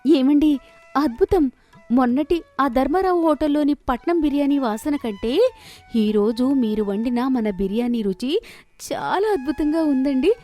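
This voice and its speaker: A woman's voice: high-pitched, expressive, a little slow, dark tone, faint music behind, voice pushed up. A woman storyteller voicing a dramatic, outspoken village wife who exclaims expressively in a cartoon moral story. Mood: delighted.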